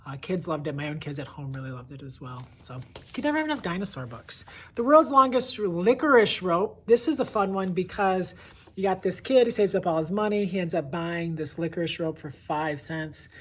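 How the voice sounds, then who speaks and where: A woman's voice: fairly low pitch, fairly quick, husky. A woman presenting casual book reviews in an effusive, conversational, enthusiastic way.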